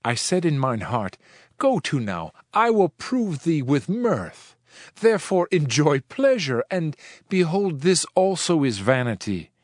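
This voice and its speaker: An actor playing a world-weary preacher king in a dramatized scripture reading, solemn, measured and oratorical. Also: a little high, big pitch swings, no room sound, clean studio sound. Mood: reflective, rueful. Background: background music.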